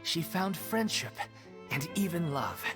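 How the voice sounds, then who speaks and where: Low-voiced, expressive theatre troupe woman. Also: a little slow, music in the background. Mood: storytelling. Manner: polite, explanatory. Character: earnest theatre troupe woman, eagerly explaining. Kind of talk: scripted video game acting.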